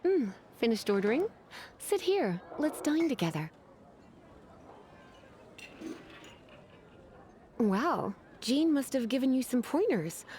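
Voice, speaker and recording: Casting a coquettish, refined witch librarian for a game: highish, very expressive pitch, teasing delivery. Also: normal speed, a little hiss or hum. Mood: pleased, teasing. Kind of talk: video game voice acting.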